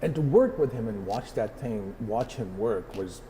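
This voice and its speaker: Middle-aged guy from pakistan, mellow low-ish voice, lots of ums, slight hiss behind. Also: animated, unhurried, rough recording. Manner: thoughtful, with frequent um and uh fillers and restarts. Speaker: interview guest, photographer. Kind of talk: reflective interview answers.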